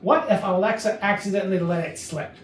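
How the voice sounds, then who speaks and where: A woman's voice: medium pitch, brisk, close to an everyday voice. A woman playing a blunt, sardonic investigator in a murder mystery comedy reading, with a dry, probing, assertive manner. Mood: accusing. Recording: distant mic, echoey noisy room.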